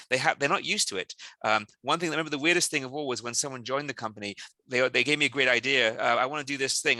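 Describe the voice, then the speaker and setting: A man from South Wales, a company CEO answering interview questions in quick, animated stories full of restarts. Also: medium pitch, dry recording.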